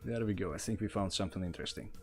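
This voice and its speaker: Low-voiced man with a Russian accent. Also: rapid-fire. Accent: Russian, mixed with other influences. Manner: relaxed, thinks aloud while playing and thanks viewers. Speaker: livestreamer. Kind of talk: casual gameplay livestream chat. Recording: faint music behind.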